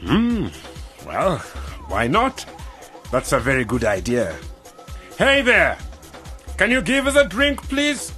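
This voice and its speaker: An actor playing a pompous, self-important government official in a radio drama. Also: male voice, high-pitched, huge pitch swings, slow, pauses often, bright, forceful tone, faint music behind, heavily acted. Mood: relaxed, bossy.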